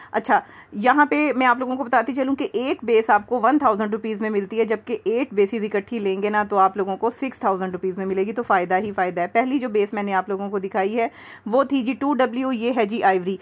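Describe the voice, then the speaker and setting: A woman with a Pakistani accent hosting a makeup tutorial in a chatty, conversational way, explaining things step by step. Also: a little high. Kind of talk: instructional demo voice-over, conversational.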